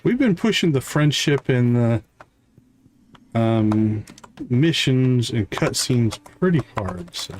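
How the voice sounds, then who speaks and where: A man hosting a livestream, giving casual gameplay commentary and thinking aloud in an unhurried way. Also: medium pitch, big pitch swings, darkish tone, a little hiss or hum. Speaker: livestream host.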